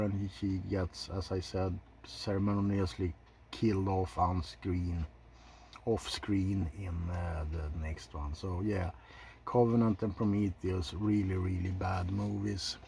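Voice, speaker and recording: A man's voice: sits low, mellow, slight background noise. A man hosting a casual ASMR video, giving blunt opinions in an informal commentary. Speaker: video host.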